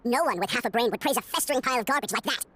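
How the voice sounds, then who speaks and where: Rapid-fire, scornful complainer acted by a woman. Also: fairly high pitch, a bit bright, faint music behind, lightly acted. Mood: scornful, indignant. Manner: scornful, combative, indignant. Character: argumentative, scornful, egotistical complainer with rotten temper. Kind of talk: video game voice acting.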